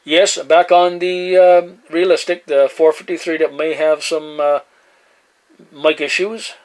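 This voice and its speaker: A man in casual two-way radio chat as a hobbyist, speaking in halting phrases with radio slang. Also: fairly high pitch, steady tone, poor audio.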